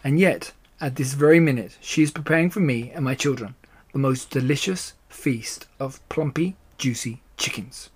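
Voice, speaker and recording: A man voicing a sly, confident father fox in a children's story, speaking in a deliberate, conspiratorial way. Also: mid-range voice, darkish tone, slightly rough, natural voice. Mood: wry.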